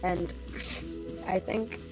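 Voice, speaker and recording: A woman's voice: drawn-out words, rough recording. A woman streaming a game, chatting casually and rambling, her voice worn from losing it. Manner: chatty, rambling, laughs often. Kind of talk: casual live gameplay chat. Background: game music.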